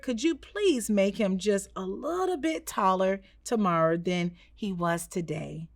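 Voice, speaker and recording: A loving, devout mother from a children's story, acted out by a woman reader. She speaks in a measured, caring way, with very little room sound. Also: medium pitch, dry recording, clean studio sound.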